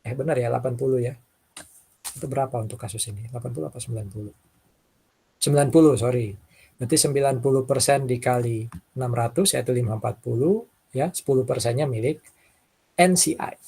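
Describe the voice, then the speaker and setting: A man with a husky voice, lecturing informally and talking through worked examples, often checking in with short tags. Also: medium pitch, a little fast, long pauses, mellow. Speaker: accounting lecturer.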